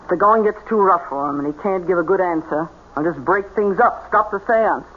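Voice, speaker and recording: A woman's voice: fairly low pitch, close to an everyday voice. An actress playing a scheming, bossy female spirit medium in an old-time radio drama, with a commanding manner. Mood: scheming, brisk. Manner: commanding, slow hypnotic orders to her subject. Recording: noisy, tinny old radio broadcast.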